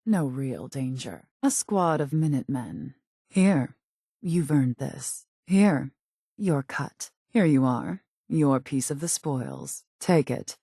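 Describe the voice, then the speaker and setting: A woman's voice: rumbling low, animated, slow pace, dark tone, breathy edge, dry recording, clean studio sound, full character voice. A woman playing a cool, calculating raider gang boss in a video game, speaking in a clipped, haughty, businesslike way. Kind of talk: scripted video game character dialogue.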